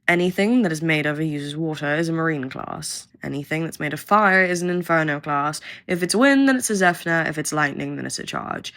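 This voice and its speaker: A woman's voice: deep voice, a little fast, few pauses, a bit bright. A woman playing a curt, condescending government researcher who briefs a recruit with blunt, sardonic impatience in an audio roleplay. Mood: impatient explaining. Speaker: researcher guiding a new recruit. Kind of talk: audio roleplay acting, addressed to listener.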